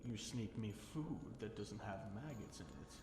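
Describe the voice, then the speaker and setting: A man playing an obsessive, seductive fallen dragon king held captive, speaking in a slow, teasing, theatrical purr for an intimate ASMR roleplay. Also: on the low side, very animated. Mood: protective, intense. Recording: roomy echo with faint noise.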